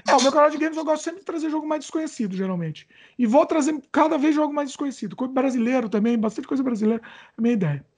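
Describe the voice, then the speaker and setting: A woman's voice: high-pitched, darkish tone. A woman with a Brazilian accent hosting a casual chat and reading viewer questions, stumbling over words and joking about her age. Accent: Brazilian Portuguese.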